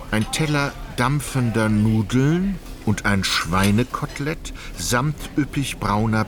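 A man's voice: fairly low pitch, noisy background. A man narrating a literary radio play in an articulate, ironic storytelling manner.

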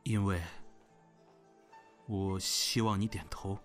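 A man's voice: low voice, level tone, slow pace. A man playing a devoted, direct young elder brother in an audio drama, frank and composed. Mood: earnest. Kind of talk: Chinese audio drama dialogue. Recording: soft guitar music behind the voice.

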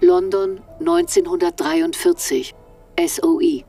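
An actress as the narrator announcing places and years in a radio drama. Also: female voice, fairly low pitch, slow pace, dark tone, recorded from a distance, soft background music.

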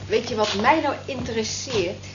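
Actress doing a chatty wistful cleaning lady in an old radio play, high voice, roomy muffled sound. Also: moderate pace, noisy background, natural voice. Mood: chatty, musing. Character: Molly, wistful, observant working-class night office cleaner.

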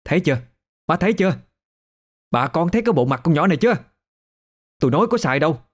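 A man's voice: a little high, very animated, fast talker, pitched above the performer's own voice. A man voicing a hot-tempered, accusing village elder sister with a Southern Vietnamese accent in an audio story. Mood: outraged.

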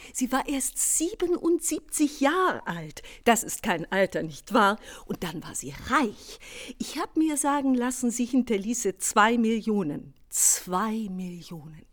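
An actress in a German radio play playing a talkative, simple, devout older housemaid who is naively money-minded. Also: female voice, medium pitch, sing-song, a little slow. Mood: confiding, chatty.

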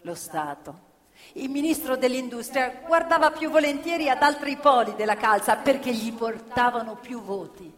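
A woman's voice: high voice, expressive. Proud, grieving factory woman, echoing hall. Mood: bitter, explanatory. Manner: emphatic repetitions, deliberate phrasing. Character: former female factory worker, proud, grieving union militant. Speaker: stage actress in radio theatre. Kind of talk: dramatic theatrical monologue. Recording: reverberant theatre hall, music playing along. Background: live violin music.

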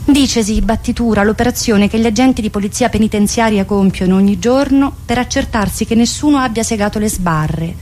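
A woman's voice: medium pitch, a little fast, few pauses, a little mellow, soft background music. A woman performing a dramatic monologue in short, declamatory fragments, like a list of remembered phrases. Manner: clipped, declamatory phrases, shifting between voices. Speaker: writer and solo stage performer.

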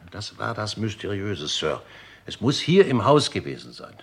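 An actor playing an older, formal manservant in a German radio crime drama, deferential and deliberate, with a husky, gravelly voice. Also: male voice, on the low side, big pitch swings, heavily acted. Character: dutiful, formal old-school manservant of a murdered gentleman.

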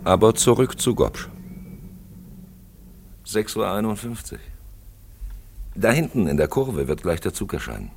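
A man acting a probing, ominous narrator-questioner in a German radio play, gravelly, theatrical and rhetorically insistent. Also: lowish voice, sing-song, a little slow, pauses often, a little mellow, slight background noise. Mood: brooding, probing.

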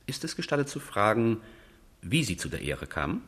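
An actor playing a pedantic, pompous envoy who loves order, in a period radio drama, fussy and condescending with a husky voice. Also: male voice, fairly low pitch, sing-song, normal speed, a bit bright, heavily acted. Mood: fussy, stern.

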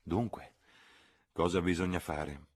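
A man's voice: sits low, steady tone, slow pace, breathy edge, exaggerated voice. A man voicing a fussy, reproving older spinster chaperone in an audiobook reading. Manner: mildly reproving, prim, insistent.

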